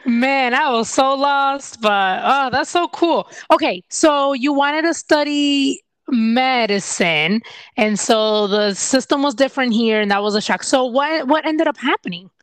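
Woman, podcast host, slow, reacts with wows and laughs, dry sound. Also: high voice, clean tone, no room sound. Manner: reacts often with wows and laughter, many fillers. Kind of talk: casual interview talk.

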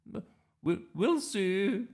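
Man putting on a dotty, excitable storybook grandma, swooping and husky. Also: high voice, takes it slowly, voice pushed up, heavily acted. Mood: hungry, evasive. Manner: gushing, hesitant, exclaiming.

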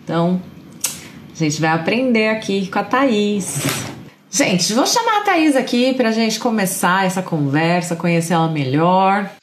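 A woman's voice: medium pitch, lively intonation, unhurried, roomy sound, slight background noise. A woman in her thirties hosting a live stream, interviewing a guest in a friendly, enthusiastic way. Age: 30s.

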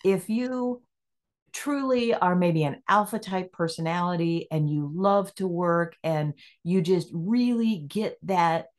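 A woman's voice: fairly low pitch, slow, darkish tone. A middle-aged woman speaking as a podcast guest, reflective and easy to laugh, voicing her own inner questions as she talks. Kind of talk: conversational interview.

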